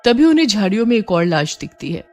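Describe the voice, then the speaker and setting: A woman's voice: mid-range voice, very animated, fast talker, darkish tone, faint music behind. A woman reading scripted horror story narration as the narrator.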